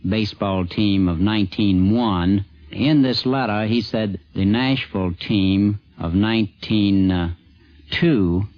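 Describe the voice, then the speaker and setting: Slow, low-voiced man. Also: dry recording. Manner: halting, thoughtful. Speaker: newspaper sports editor. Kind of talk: unscripted interview recollection. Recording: dull 1950s radio tape.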